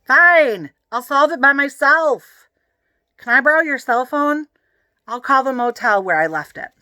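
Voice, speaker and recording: A woman voicing an excitable, wisecracking schoolboy in a children's story, emphatic and dramatic, eager to impress. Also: sits high, a little slow, no room sound, clean studio sound, pitched above the performer's own voice, heavily acted. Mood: defiant, urgent.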